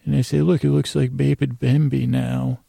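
A man delivers a meandering bedtime podcast monologue in a very creaky, gravelly voice. His intonation stays fairly flat and the tone is soft-edged and dark. He halts, restarts and drifts off on tangents, recorded close and dry. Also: medium pitch, no echo. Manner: halting, meandering, full of stammered false starts and trailing tangents. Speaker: podcast host.

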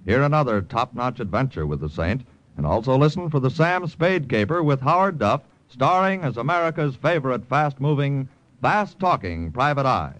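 Brisk male radio announcer. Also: mid-range voice, sing-song, fairly bright tone, no echo, rough recording. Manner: brisk, formal. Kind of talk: reading credits and promos. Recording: old radio broadcast with faint music.

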